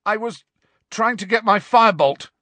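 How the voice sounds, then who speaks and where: A man's voice: on the high side, sing-song, a little slow, sharp tone, no echo, pitched above the performer's own voice, full character voice. A man playing a defiant, hot-tempered teenage boy in an audiobook story, urgent and demanding. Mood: strained, defiant.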